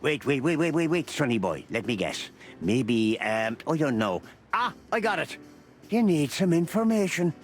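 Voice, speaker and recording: Casting: jovial old beaver news vendor, streetwise uncle type, swinging high-pitched delivery, noir game. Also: male voice, big pitch swings, moderate pace, faint music behind. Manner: folksy, chuckling, avuncular. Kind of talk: noir adventure game voice acting.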